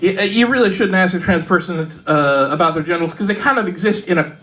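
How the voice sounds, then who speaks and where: A woman with a Texan accent performing a stand-up set in a pub comedy club, delivering dark jokes deadpan, with lots of fillers and little laughs at her own lines. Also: on the low side, fast talker, rough recording. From Texas. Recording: live club mic, loud audience laughter.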